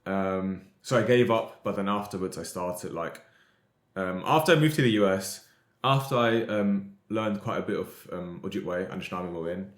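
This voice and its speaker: Low-voiced man hosting in an echoey room. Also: normal speed. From Europe. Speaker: podcast host. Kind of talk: casual conversational podcast talk.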